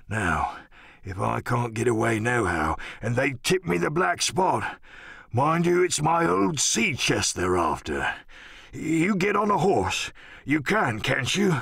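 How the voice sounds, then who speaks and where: Gravelly, cantankerous old captain. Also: male voice, medium pitch, a bit bright, no room sound, studio quality, pitched above the performer's own voice, exaggerated voice. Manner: rambling, salty seafaring talk, cursing. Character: sick, rum-craving old pirate sea captain, cantankerous and pleading. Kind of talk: audiobook radio-play character acting.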